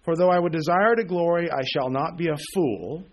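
Man in his forties preaching, halting. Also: a little high. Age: 40s. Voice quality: halting, many fillers and restarts, checks in with "okay?". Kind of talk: bible teaching sermon.

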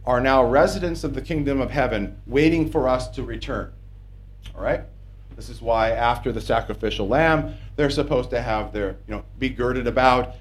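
A man preaching a sermon from the pulpit of a small church, emphatic and conversational, often checking in with "okay?". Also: mid-range voice. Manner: emphatic, rising to insistent peaks, asks the congregation "okay?".

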